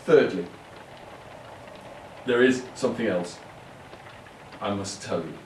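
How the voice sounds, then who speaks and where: An actor playing an earnest, halting brother who confesses and pleads with his sisters in an audio play. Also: lowish voice, far from the mic. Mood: earnest, confessing. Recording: roomy, off-mic, faint background noise.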